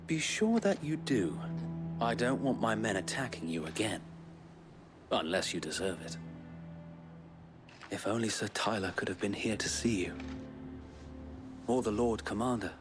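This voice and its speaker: An actor playing a loyal, battle-weary resistance knight in a fantasy game, earnest and formal with a rough soldier's tongue. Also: male voice, fairly low pitch, big pitch swings, a little slow, pauses often. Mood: gruff warmth. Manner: earnest, rousing, formal speech with some coarse swearing. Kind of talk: dramatic fantasy game acting. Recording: orchestral game music behind.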